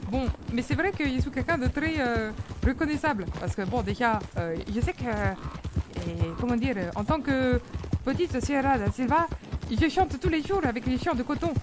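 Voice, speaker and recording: A woman's voice: sits high, moderate pace, background noise. A woman playing a chatty young Mexican woman in a roleplay, with a put-on Mexican accent and hesitant talk full of fillers. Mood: cheerful. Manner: hesitant, full of fillers, sprinkles Spanish words. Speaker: roleplay player.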